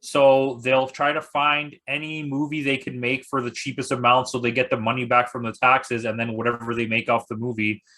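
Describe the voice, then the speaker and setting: A man's voice: mid-range voice, little pitch movement, normal speed, hardly any pauses, bright, forceful tone. A man chatting casually as a podcast guest and movie critic, blunt, with dry joking. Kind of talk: casual chat.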